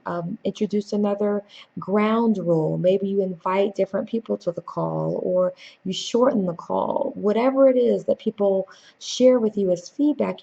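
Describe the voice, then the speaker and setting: Woman trainer with a creaky, mellow voice. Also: medium pitch, highs cut off. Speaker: corporate trainer and keynote speaker. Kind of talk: instructional tips presentation to camera.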